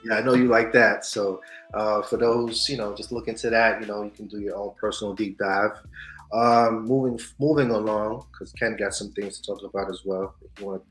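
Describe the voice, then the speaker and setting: A man co-hosting a casual news and culture show, animated, swinging between loud hype and soft asides. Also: on the low side, normal speed. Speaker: podcast co-host. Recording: echoey room with faint music.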